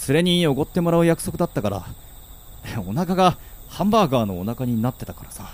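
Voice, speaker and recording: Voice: medium pitch, very animated, fast talker, slight background noise, lightly acted. An actor playing a cool, level-headed teenage schoolboy in a Japanese audio drama, talking in a casual, dry, frank way. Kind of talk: Japanese anime-style audio drama acting.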